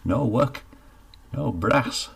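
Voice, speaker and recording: A man's voice: mid-range voice, animated, extremely slow, creaky, breathy edge, pitched above the performer's own voice, exaggerated voice. A man voicing a scruffy, sheepish jobless man of about fifty, who mumbles and stammers. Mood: glum. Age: 50s.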